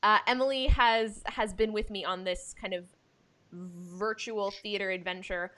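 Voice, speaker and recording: A woman's voice: mid-range voice, fairly even intonation, measured pace, fairly bright tone. A woman from the United States hosting an arts podcast in a casual, enthusiastic and effusive chatting style. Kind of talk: casual chat, introducing guests.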